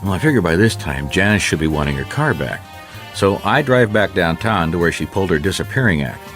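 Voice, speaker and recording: A man's voice: low voice, lively intonation, a little fast, fairly bright tone, faint music behind. An actor playing a beleaguered, earnest private detective in an old-time radio mystery, a man who stumbles over his words. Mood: dry narration. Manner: hesitant, repeats himself when flustered. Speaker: private investigator character and narrator.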